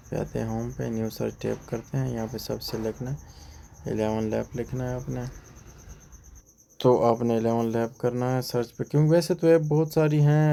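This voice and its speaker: A man's voice: mid-range voice, gravelly, mellow, slight background noise. A man narrating an informal tutorial, explaining step by step and repeating himself. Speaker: tutorial presenter.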